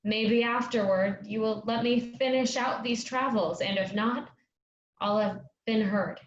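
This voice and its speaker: An earnest young woman of the stage acted by a woman, with a high voice and unhurried, deliberate lines. She is heard in an echoey, noisy room at a staged play reading. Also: steady tone, lo-fi sound, natural voice. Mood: earnest pleading. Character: Madge, earnest young woman, travelling player finding her voice.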